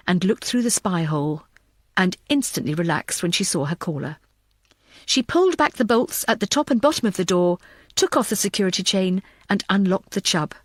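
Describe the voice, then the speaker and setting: A woman's voice: mid-range voice, no room sound, clean studio sound. A woman reading scripted thriller fiction as an audiobook narrator.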